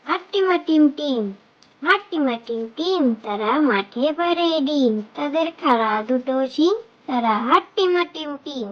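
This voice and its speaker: A woman's voice: high voice, animated, measured pace, highs cut off. A woman reciting children's nursery rhymes in a sing-song style, in short phrases.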